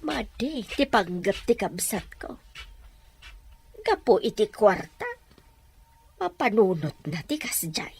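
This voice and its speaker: A woman's voice: medium pitch, very animated, slow, pauses often, a little mellow, breathy edge, exaggerated voice. A woman playing a principled older sister in an audio drama, protesting and scolding. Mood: uneasy. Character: principled older sister refusing a dishonest scheme. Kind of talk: amateur audio drama acting.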